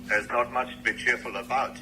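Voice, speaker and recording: Radio drama solemn scientist on a conference call, piercingly bright mid male voice, faint hum. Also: medium pitch, steady tone, fairly quick. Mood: grave, earnest. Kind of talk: naturalistic radio drama acting. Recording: faint noisy internet call.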